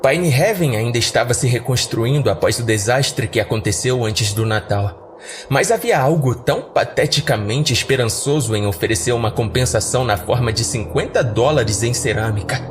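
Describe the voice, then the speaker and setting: A man's voice: mid-range voice, expressive, moderate pace, slight background noise. A man with a Brazilian accent narrating a scripted first-person horror story for a podcast.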